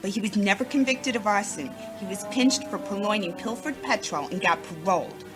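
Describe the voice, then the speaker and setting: A woman's voice: medium pitch, brisk, over music, natural voice. Theatrical noir femme fatale played by a woman. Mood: dramatic. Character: noir femme fatale client, melodramatic grieving daughter. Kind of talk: old-time radio detective parody acting.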